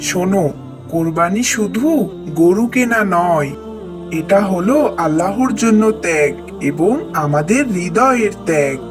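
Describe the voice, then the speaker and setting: A man's voice: high voice, lively intonation, a little slow, over music. A man playing a kind, pious older brother who earnestly teaches in a moral cartoon. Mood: earnest, gentle. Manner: earnest, instructive. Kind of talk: scripted moral cartoon dialogue.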